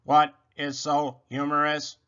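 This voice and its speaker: A man's voice: a little high, completely flat delivery, slow, a bit bright, clean tone, muffled recording. A man voicing a polite, literal-minded robot in a children's storybook, speaking in formal, precise phrases.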